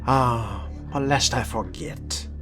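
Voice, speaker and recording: A man role-playing a haughty, weary vampire fledgling in a video game, muttering sardonic asides in a deliberate, often whispery way. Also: medium pitch, lightly acted. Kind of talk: in-character video game role-play commentary. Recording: music behind the voice.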